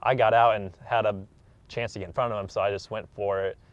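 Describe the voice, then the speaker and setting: A man's voice: on the low side, fairly even intonation, fast talker, no room sound, dull audio. A young American man in his twenties, an athlete giving a casual interview in an earnest, modest way. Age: 20s. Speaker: track athlete being interviewed.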